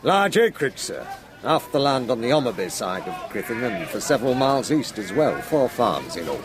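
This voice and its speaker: A man's voice: mid-range voice, big pitch swings, moderate pace, crowd noise, noisy background, natural voice. An actor playing a deferential but guarded local man in a radio drama, terse, dour and evasive. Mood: dour, knowing.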